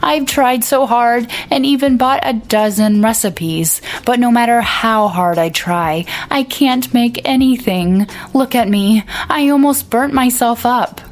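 A woman's voice: mid-range voice, measured pace, a little mellow, close to an everyday voice. A woman doing a vain, whiny young woman. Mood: pleading. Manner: whining, pleading.

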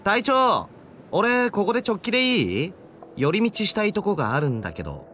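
A Japanese actor playing a flippant, playful, laid-back young soldier in an anime game. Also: male voice, high-pitched, huge pitch swings, moderate pace, a bit bright, a little hiss or hum, heavily acted.

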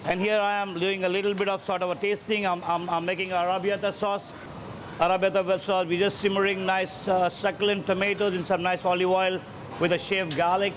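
A man's voice: high voice, little pitch movement, fairly quick, background noise. A man, an executive chef, walking through and explaining his kitchen in a casual way, often repeating words as he goes. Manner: repeats words while gathering thoughts. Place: ship galley kitchen.

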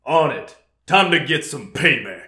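A man's voice: on the high side, big pitch swings, unhurried. A man playing a proud young monster god, solemn and threatening, in a fan-made audio drama. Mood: resolute. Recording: loud noise behind the voice.

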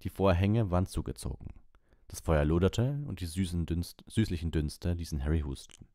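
A man's voice: low-pitched, moderate pace, mellow, no room sound, clean studio sound. A man reading fiction aloud as an audiobook narrator. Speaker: audiobook reader.

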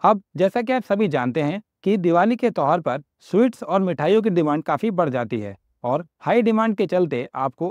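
A man in his thirties with an Indian accent, presenting a product review and then a recipe walkthrough in an upbeat sales pitch. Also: darkish tone, dry recording. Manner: upbeat salesy pitch, addresses viewers as friends. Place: small home office room indoors. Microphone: lapel mic.

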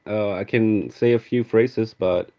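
A man's voice: on the low side, fairly even intonation, a little slow. Swedish man chatting on a lo-fi stream. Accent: Swedish, American-tinged. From Sweden. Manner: chatty, reads out viewer names, names cards as he pulls them. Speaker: card-opening streamer. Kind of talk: casual live-stream chat. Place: indoor room with card display.

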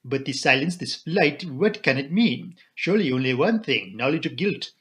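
A man voicing an elderly, courteous detective in an audiobook, with a formal, imploring, deliberate delivery. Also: medium pitch, big pitch swings, a bit bright. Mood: urgent pleading. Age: older. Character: elderly Belgian male detective, courteous, earnest, commanding.

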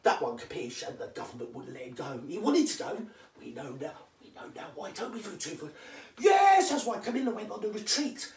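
A vlogger with a London accent, rattling off comic celebrity gossip, answering their own questions and dropping into hushed asides. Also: expressive, a little fast, creaky. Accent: London English. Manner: drops to hushed asides, jumps to emphatic high yeses. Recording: echoey room, voice far from mic.

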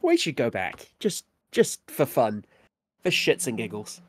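A man's voice: medium pitch, huge pitch swings, unhurried, a crowd in the background. A man with a slightly stuffy, sniffly nose, chatting casually and jokingly as a guest co-commentator on a game. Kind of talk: casual joking chat over gameplay.

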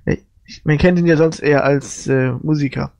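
A man's voice: a little high, fairly even intonation, normal speed, darkish tone. A man co-hosting a casual interview, chatting and asking questions. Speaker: podcast co-host.

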